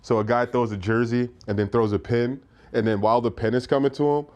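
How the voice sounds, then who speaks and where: A man's voice: medium pitch, steady tone, fairly quick, darkish tone. A man chatting casually as a co-host on a sports podcast. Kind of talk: casual chat.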